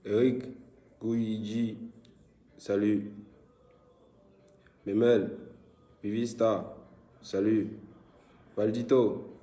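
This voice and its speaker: A man with a West African French accent and a head cold hosts a casual live stream and calls out greetings to viewers. His voice is low, dark and mellow, with a flat delivery. The echoey room makes it sound roomy. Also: very slow, pauses often. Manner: loud, repetitive greetings and shout-outs to viewers. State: congested with a cold.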